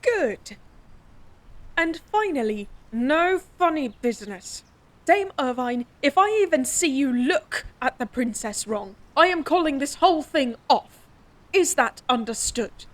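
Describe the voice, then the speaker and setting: Prim lady-in-waiting, high voice, sharp, scolding, fantasy audio drama. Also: expressive, a little slow. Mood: stern. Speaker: princess's attendant.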